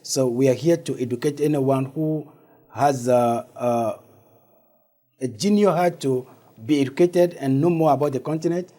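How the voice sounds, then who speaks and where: A man with a West African accent, a native Ewe speaker, answering haltingly as an interview guest. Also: medium pitch, dark tone. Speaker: interview guest, organization founder. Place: studio.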